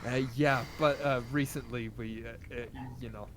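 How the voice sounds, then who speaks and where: A man's voice: medium pitch, fairly even intonation, normal speed, fairly bright tone, a little hiss or hum, lightly acted. A man voicing a male city guard who rambles apologetically about cruel orders in a tabletop game. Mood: nonchalant.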